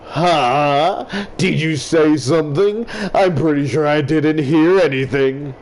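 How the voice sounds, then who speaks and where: A man's voice: on the high side, takes it slowly, a little mellow, pitched above the performer's own voice. A man voicing a menacing, taunting male school bully while reading a visual novel aloud.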